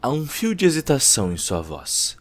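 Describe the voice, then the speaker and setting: A Brazilian man narrating a dramatic scripted audio story in a theatrical, deliberate way, with short emphatic phrases. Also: medium pitch, huge pitch swings. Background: prominent music.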